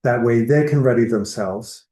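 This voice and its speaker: A man's voice: mid-range voice, moderate pace, dark tone, echoey room. A man giving a public lecture in a conversational, explanatory way, listing examples as he goes. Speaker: lecturer on whale entanglement response. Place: lecture hall.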